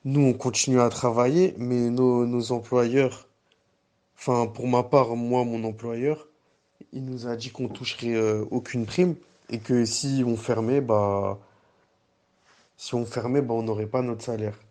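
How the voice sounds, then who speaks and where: A delivery man speaks at an ordinary middle pitch. He recounts his work in a measured way, hesitating often with fillers. His voice is plain and everyday. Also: fairly even intonation, dark tone. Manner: measured, many fillers and restarts. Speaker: pizza delivery driver. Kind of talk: personal testimony.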